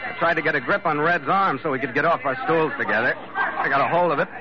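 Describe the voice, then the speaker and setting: An actor playing a tough, world-weary ship's skipper in a hardboiled radio drama, terse and sardonic. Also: male voice, on the high side, fast talker, natural voice. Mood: grim narrating. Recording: muffled, noisy old radio recording.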